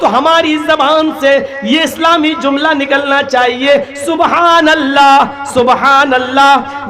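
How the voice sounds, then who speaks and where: A male preacher giving an impassioned sermon, often raising his voice to a shout and slowing down to recite Arabic prayers. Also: very high voice. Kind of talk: Islamic sermon.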